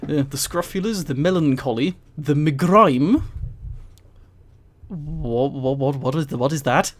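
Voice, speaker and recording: A man streams a casual read-aloud through a headset mic. His voice sits a little high for a man. He rambles into amused asides as he goes, chatting informally to his viewers. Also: unhurried. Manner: amused, giggly, rambling commentary. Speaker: streamer reading a book aloud to live chat.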